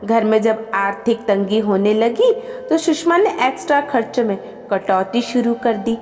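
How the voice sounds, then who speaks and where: A woman's voice: fairly high pitch, measured pace, a little mellow, big-room sound. A woman narrating a scripted family story as a storyteller.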